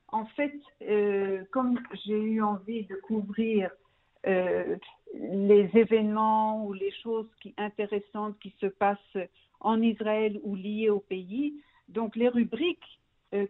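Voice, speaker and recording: Over a narrow, tinny phone line, a middle-aged woman from Belgium answers as an interview guest. Her pitch is medium, and she often restarts phrases as she searches for words. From Brussels, Belgium. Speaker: magazine founder, interview guest.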